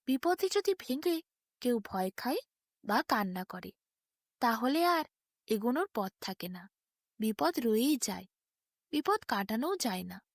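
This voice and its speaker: Woman reading a bedtime story, highish voice, dramatic phrasing, really dry close sound. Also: big pitch swings, unhurried, long pauses, no room sound. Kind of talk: expressive bedtime story narration.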